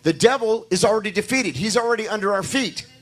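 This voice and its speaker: A hoarse male pastor preaching a sermon in a church, rising into emphatic declarations. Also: high voice, fast talker, a bit bright, soft background music.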